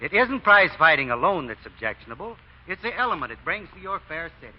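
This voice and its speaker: A man's voice: on the high side, very animated, fast talker. A man playing a sly, folksy fake deacon in 1940s radio acting, drawling homespun sayings. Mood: orating. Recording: dull lo-fi old radio broadcast.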